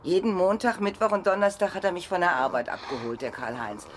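A woman's voice: on the low side, lively intonation, a little fast, slight background noise, lightly acted. An actress in a radio crime drama playing a naive, chatty, worried girlfriend who rambles colloquially. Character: naive chatty woman, worried girlfriend under police questioning.

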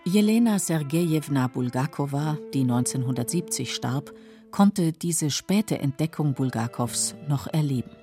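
A woman with a husky voice giving sober, measured scripted documentary narration in a radio studio. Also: deep voice, warm tone.

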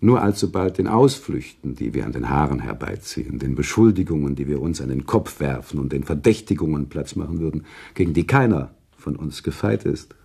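A deep, gravelly male author in a literary radio play. He is earnest and reproachful, phrasing formally with wide, expressive swings of pitch. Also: a little fast, few pauses, exaggerated voice. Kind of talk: German radio play dialogue, literary storytelling.

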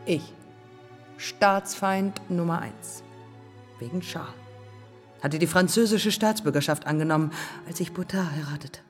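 A proud, defiant pioneering woman pilot played by an actress in a radio drama. Her voice sits fairly low and she speaks in clipped, deliberate phrases, matter-of-fact and measured. Faint music plays behind her. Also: in a large hall, close to an everyday voice. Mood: defiant, reproachful. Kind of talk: German public radio drama, lyrical dialogue.

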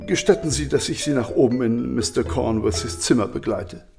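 A deferential butler, played by a male actor in a radio play, with music underneath. His medium-pitched voice has a gravelly creak and stays formal and proper. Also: moderate pace, lightly acted. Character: formal, deferential first-class English butler.

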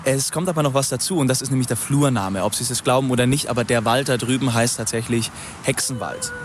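A man's voice: mid-range voice, quick pace, lightly acted. An actor playing an earnest, obsessive fairy-tale archaeologist who explains his theory pedantically, with insistent leading questions, in a naturalistic radio drama. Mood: earnest, convinced. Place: outdoors in woodland. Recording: faint outdoor background noise.

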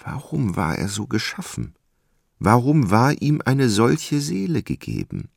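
Casting: male literary narrator, gravelly, dry close studio sound, dramatic measured delivery. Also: mid-range voice, wildly swooping pitch, no echo.

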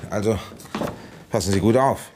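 A very low-pitched actor as a male police commissioner in a radio crime drama. He is weary but authoritative, giving orders and telling his case in clipped, matter-of-fact phrases. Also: normal speed, a little mellow, slight background noise, close to an everyday voice. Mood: firm, official. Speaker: detective and first-person narrator. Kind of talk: radio play acting with narration.

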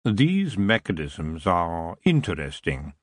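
A man voicing an ancient antlered elf king, smooth and unhurried, lazily polite with a menacing edge. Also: sits low, wildly swooping pitch, dry recording, studio quality, pitched below the performer's own voice, full character voice. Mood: languid. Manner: lazy, drawn-out, coolly polite. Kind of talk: audiobook character voice.

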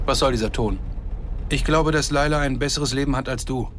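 Actor as proud, guarded filmmaker amid street noise. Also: male voice, medium pitch, fairly quick, fairly bright tone, close to an everyday voice. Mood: defensive. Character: proud, secretive, anxious Palestinian filmmaker, defensive husband. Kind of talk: German radio drama dialogue. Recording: noisy outdoor street ambience with traffic.

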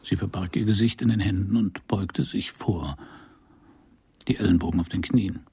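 An actor playing a brooding detective who narrates his own case in a measured, wry, gravelly voice for a radio crime drama. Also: low-pitched, steady tone, warm tone, no room sound, heavily acted. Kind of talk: first-person noir radio narration.

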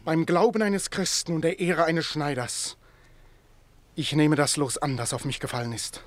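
An actor playing a meek, kindly little Greek tailor in a radio play, plaintive and earnest. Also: male voice, fairly high pitch, fairly even intonation, moderate pace, no echo, lightly acted. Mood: resigned, brave. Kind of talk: classic German radio play acting.

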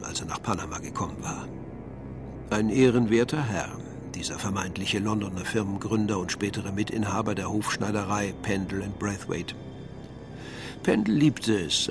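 A male narrator tells a crime story in a scripted radio-play style. His voice is lowish and distinctly creaky, with a wry edge, and music plays behind it.

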